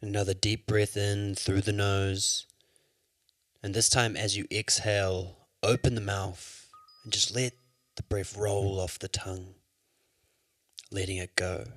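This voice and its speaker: A man leading a guided yoga nidra meditation as an instructor, with soothing, measured instructions. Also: lowish voice, level tone, fairly bright tone, no echo.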